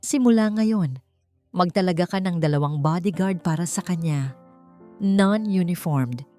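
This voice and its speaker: A woman's voice: fairly low pitch, a little slow, mellow, lightly acted. Woman voicing a commanding Filipino male billionaire. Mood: grave, protective. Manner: authoritative, clipped orders. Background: background music.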